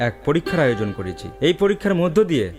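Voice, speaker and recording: An elderly village patriarch, acted by a man in a cartoon folk tale. His intonation rises and falls widely as he delivers measured, commanding pronouncements. Music plays clearly behind him. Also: a little high, sing-song. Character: elderly village headman, father of three grown sons.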